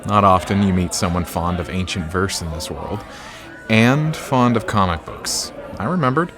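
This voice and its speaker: Guy acting as bookish romantic date, low voice, gently earnest, clear music underneath. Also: moderate pace, close to an everyday voice. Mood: gentle, hopeful. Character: bookish, romantic man courting a friend. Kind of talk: audio drama dialogue.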